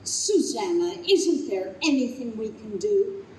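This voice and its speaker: Casting: actress as fond but exasperated wife, high swooping voice, unhurried, echoey radio play stage. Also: female voice. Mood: pleading. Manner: theatrical, expressive. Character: chatty, fond, exasperated wife of an old man. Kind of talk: old-time radio play acting. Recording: echoey noisy live stage, distant microphone.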